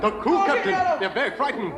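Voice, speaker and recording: An alarmed submarine officer from a 1940s radio adventure. His voice is extremely high for a man and swings widely as he pleads in careful, formal English. Loud noise covers the old broadcast recording. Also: big pitch swings, a little fast, a loud crowd. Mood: frightened pleading. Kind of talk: 1940s old-time radio drama acting.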